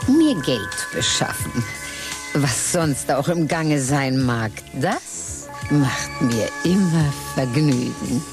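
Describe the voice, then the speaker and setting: A woman's voice: rumbling low, big pitch swings, slow, exaggerated voice. An actress voicing a worldly, cynical mother figure who talks in a dry, wry drawl with whispered asides, over music. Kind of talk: radio play acting and first-person narration. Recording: music behind the voice.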